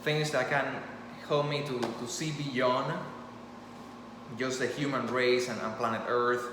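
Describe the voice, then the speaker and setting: A man's voice: mid-range voice, steady tone, measured pace. Guy whose first language is spanish rambling into his phone, lots of restarts, echoey roomy sound, noise in the background. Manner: rambling, earnest, many restarts. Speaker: storyteller channel host. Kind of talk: impromptu talk to camera.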